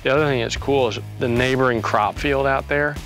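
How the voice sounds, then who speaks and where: A man with a medium-pitched voice chats informally, like a hunter showing land. Faint music plays behind his voice. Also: fairly quick, fairly bright tone, low-quality recording. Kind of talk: casual outdoor chat about land.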